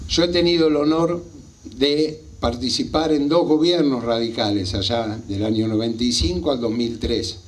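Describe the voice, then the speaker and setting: A man in his fifties with a Rioplatense Argentine accent delivers an impassioned political speech, emphatic and repeating phrases for effect. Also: mid-range voice. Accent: Rioplatense Argentine Spanish. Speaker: local party politician. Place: small outdoor rally stage at night. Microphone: handheld microphone.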